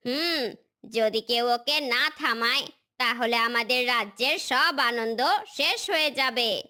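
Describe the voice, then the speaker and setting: Woman doing a worried mama bird in a cartoon, slow and cautious, kinda sharp bright voice. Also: fairly high pitch. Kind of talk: cartoon acting.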